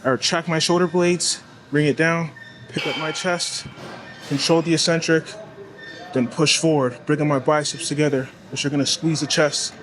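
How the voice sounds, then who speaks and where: A man's voice: a little high, fairly even intonation, normal speed, noisy background. A young man from Toronto with a Toronto accent, chatting casually in a gym vlog and coaching between sets, recorded on a clamped camera mic. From Toronto, Canada. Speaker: fitness vlogger. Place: indoor gym. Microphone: clamped camera mic, no tripod.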